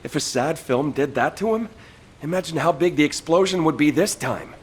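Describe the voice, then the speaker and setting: Wry, expressive male soldier voiced by a man. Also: on the high side, normal speed, a little hiss or hum, close to an everyday voice. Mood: wry worry. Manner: wry, animated. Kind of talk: video game voice acting.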